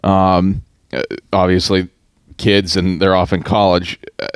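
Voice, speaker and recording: A man with a somewhat low voice hosts a casual interview. He speaks in measured, halting phrases, as if working out the thought while he talks. The recording is dry, with almost no room sound. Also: little pitch movement, smooth, dry recording. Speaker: podcast host and interviewer.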